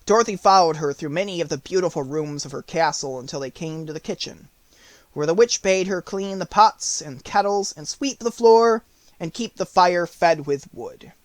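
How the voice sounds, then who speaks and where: Higher-voiced man narrating a storybook. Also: moderate pace, dry recording. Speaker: audiobook narrator.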